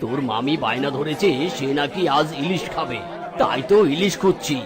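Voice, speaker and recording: A man's voice: a little high, animated, moderate pace, natural voice. A man voicing a hen-pecked uncle, an animal customer in a children's cartoon. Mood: apologetic. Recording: music and crowd behind.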